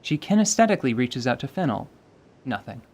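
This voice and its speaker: A man's voice: medium pitch, very animated, brisk, darkish tone. A man reading scripted narration for a fantasy audio drama.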